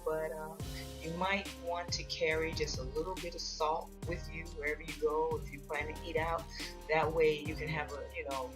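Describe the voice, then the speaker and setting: A woman hosting a how-to video, explaining things in a casual, unhurried, plain-spoken way. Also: sits low, flat delivery, music in the background. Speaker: host of a how-to video on ordering food.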